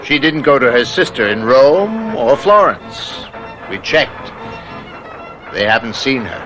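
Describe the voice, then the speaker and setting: A sly radio-drama police inspector with a mid-pitched voice. He talks very slowly and probes with mock casualness, with music playing behind him. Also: big pitch swings, sharp tone, heavily acted, low-quality recording. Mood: sly, insinuating. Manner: measured, falsely casual, probing. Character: sly, patient, insinuating male police inspector. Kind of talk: old radio mystery acting.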